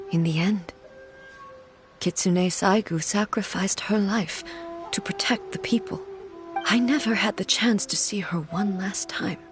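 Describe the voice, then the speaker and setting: A woman voicing a calm, regal woman ruler in a video game, speaking in a measured, formal and quietly grave way. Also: mid-range voice, lightly acted.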